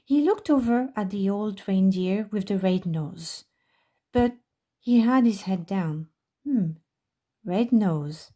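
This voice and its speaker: A woman's voice: mid-range voice, animated, slow pace, warm tone. A woman reading a children's storybook aloud as narrator at a library storytime.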